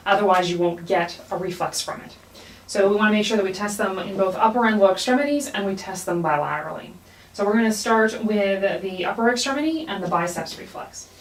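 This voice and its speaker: Woman instructor in an echoey room. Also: medium pitch. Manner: explanatory, repeats key points. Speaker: clinical skills instructor. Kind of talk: hands-on instructional demonstration. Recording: echoey room, somewhat distant mic.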